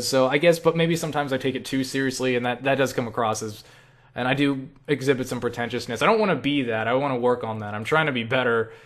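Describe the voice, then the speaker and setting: A young man chatting casually in a question-and-answer vlog, rambling and self-deprecating, with casual swearing. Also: mid-range voice. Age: young adult. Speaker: online video creator answering viewer questions.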